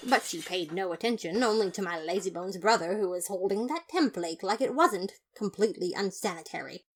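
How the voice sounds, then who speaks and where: A pompous, easily exasperated skeleton detective, played by a woman tells a story in the first person. The mid-pitched voice is theatrical and haughty, full of fastidious disapproval. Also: lively intonation, natural voice. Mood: disgusted.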